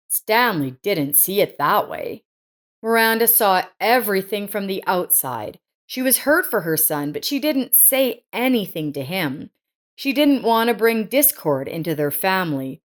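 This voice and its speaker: A woman reading a scripted family drama story as its narrator. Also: lowish voice.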